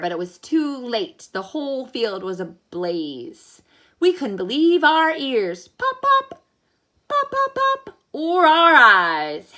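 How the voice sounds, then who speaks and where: A woman teacher reading a children's story aloud in an expressive, animated way, as if to young listeners. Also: fairly high pitch. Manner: animated, pausing to ask listeners questions. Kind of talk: expressive storybook read-aloud with think-aloud questions.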